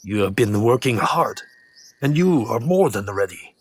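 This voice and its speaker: An actor playing a patient, fatherly ninja mentor in a video game, speaking gently and encouragingly. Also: medium pitch, lively intonation, measured pace, husky. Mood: fatherly, gentle. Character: seasoned male shinobi mentor, fatherly and patient. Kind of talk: video game cutscene acting.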